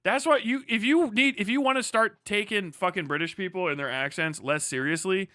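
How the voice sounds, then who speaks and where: A man with a fairly high, bright voice chats off the cuff as a livestream host. He swears freely and rambles into joking, exaggerated rants. The sound is close and dry. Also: brisk, dry recording. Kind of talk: casual rambling livestream chat.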